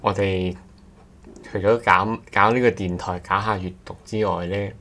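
Man, podcast guest, extremely low voice, casual conversation, noticeable noise in the recording. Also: fairly even intonation, moderate pace, fairly bright tone. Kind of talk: casual chat. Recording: clearly noisy background.

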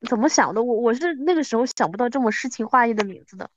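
A woman's voice: a little high, animated, racing, no room sound, highs cut off. A woman in her thirties chatting casually as a podcast host, self-deprecating and often repeating her words for emphasis. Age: 30s. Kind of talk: casual chat between old friends.

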